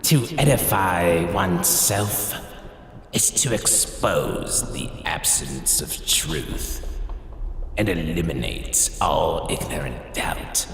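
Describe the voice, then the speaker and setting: A very slow, sinister horror host. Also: male voice, low voice, gravelly, full character voice. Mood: ominous, pompous. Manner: grandiose, drawn-out, menacing. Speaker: show host. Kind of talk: theatrical scripted narration. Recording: echoing reverb, noisy ambience behind.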